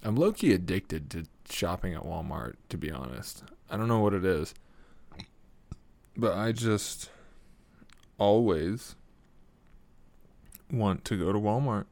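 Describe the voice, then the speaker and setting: A young man with a deep voice hosting a casual solo podcast, laid-back and swearing often, chuckling at his own stories. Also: animated, takes it slowly, gravelly, long pauses, a little mellow, no echo. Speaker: podcast host. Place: small home room with foam on the walls. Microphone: studio mic at a desk.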